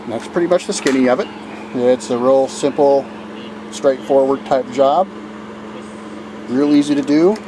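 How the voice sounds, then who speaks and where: A man's voice: mid-range voice, noisy background. Folksy man with a plainspoken drawl. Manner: folksy, plainspoken, halting with fillers and restarts. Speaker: DIY video maker. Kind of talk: casual how-to demonstration. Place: inside a motor home at night.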